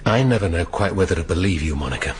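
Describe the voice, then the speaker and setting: An actor with a British accent playing a dry, probing middle-aged police inspector in a radio drama. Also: male voice, sits low, expressive, fast talker, slight background noise. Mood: probing, intimate. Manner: dry, questioning, sardonic.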